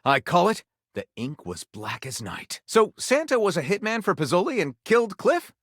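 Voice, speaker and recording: Video game role: flamboyant male pulp-romance narrator, overwrought and theatrical, hugely animated intonation, close dry sound. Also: sits high, moderate pace, dry recording, heavily acted. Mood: delighted, impressed. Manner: theatrical, breathless, overwrought delivery. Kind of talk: dramatic storybook narration.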